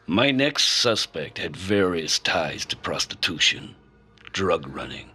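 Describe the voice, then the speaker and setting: An actor playing a hardboiled, cynical, brooding police detective in a noir radio play. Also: male voice, rumbling low, sharp tone, husky, heavily acted.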